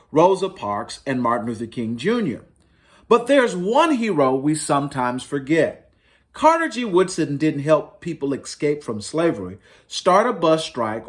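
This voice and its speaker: A man reads a children's picture book aloud as a story-time host. His voice sits a little high for a man and swings widely in pitch. He stresses key words with dramatic emphasis. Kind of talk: expressive picture book read-aloud for families.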